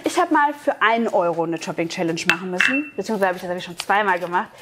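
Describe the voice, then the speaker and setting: A woman's voice: mid-range voice, lively intonation, quick pace, a bit bright. A woman, chatting casually and playfully as host of a shopping vlog, often repeating herself. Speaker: host of a DIY channel. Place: inside a drugstore.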